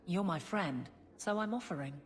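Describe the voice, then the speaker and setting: A woman's voice: on the low side, animated, moderate pace, slight background noise, close to an everyday voice. An actress playing a stern, practical city guardswoman in a fantasy game, blunt and businesslike. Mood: resolute. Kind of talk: fantasy video game acting. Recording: long reverb, loud music behind.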